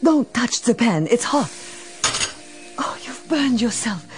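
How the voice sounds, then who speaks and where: An actress playing an obedient, formal maid who is a machine servant, speaking calmly and deferentially. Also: female voice, on the high side, slow, music in the background. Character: polite obedient female robot maid. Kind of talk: radio drama acting.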